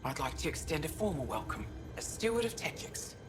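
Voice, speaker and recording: Low-voiced, dignified alien steward. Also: female voice, very animated, music in the background. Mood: formal, hopeful. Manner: formal, measured, earnest. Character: dignified, practical alien woman, steadfast steward of town. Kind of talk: video game character dialogue.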